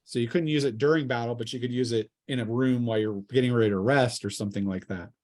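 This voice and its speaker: A man's voice: medium pitch, quick pace, darkish tone. A man acting as game master, casually explaining the rules step by step to his players. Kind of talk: casual tabletop role-playing talk.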